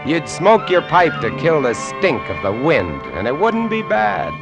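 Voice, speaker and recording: A man's voice: fairly high pitch, huge pitch swings, a little slow, creaky, music in the background, exaggerated voice. An actor playing a lighthouse keeper who tells his sea tale vividly and dramatically, in old radio drama style. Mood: wry, reminiscing. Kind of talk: golden-age radio drama narration. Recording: old radio recording with musical underscore.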